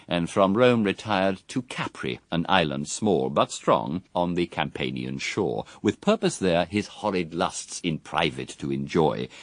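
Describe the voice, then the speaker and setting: An actor playing Satan as a proud, cunning, persuasive fallen angel in a verse radio drama, speaking in a grand, measured, rhetorical manner. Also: male voice, low voice, no echo, full character voice.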